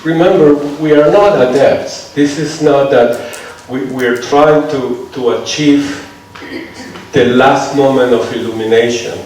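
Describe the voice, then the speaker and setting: A man's voice: mid-range voice, unhurried, darkish tone. A man from Venezuela with a Venezuelan Spanish accent, giving a seminar lecture in English and often checking that listeners follow him. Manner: conversational, checks on listeners with "right?". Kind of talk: lecture with audience questions. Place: lecture hall. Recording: echoey hall, mic far off, faint noise.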